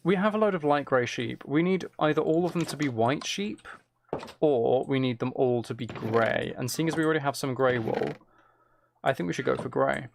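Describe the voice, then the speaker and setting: A man with a gravelly, creaky edge to a mid-pitched voice talks himself through a video game. He muses casually and hesitantly as he plans aloud. Faint noise with keyboard typing and clicks sits behind him. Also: moderate pace. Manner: musing, self-talking, hesitant planning. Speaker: gaming streamer. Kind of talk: casual gameplay commentary, thinking aloud. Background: faint noise, computer keyboard and mouse clicks.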